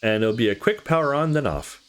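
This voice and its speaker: A man reading visual novel dialogue aloud in a casual, expressive way, as a let's play host. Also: mid-range voice, brisk.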